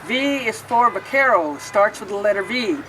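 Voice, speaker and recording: A woman's voice: mid-range voice, animated, normal speed, fairly bright tone, far from the mic, slight background noise, low-quality recording. Woman reading a picture book from afar. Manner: sing-song rhyming reading with asides explaining pictures. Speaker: storybook reader.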